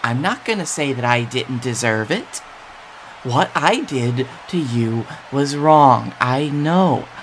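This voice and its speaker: A man playing a nervously upbeat wrestler, pleading and coaxing in a halting, emphatic promo style. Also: mid-range voice, lively intonation, a little hiss or hum, poor audio. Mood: pleading, apologetic.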